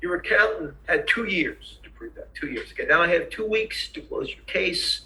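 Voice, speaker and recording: A man's voice: sits high, expressive, normal speed, sharp tone, heavily acted. A man acting a curt, unsympathetic tax auditor at a screenplay table read, sardonic and coldly dismissive. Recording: echoey room recording.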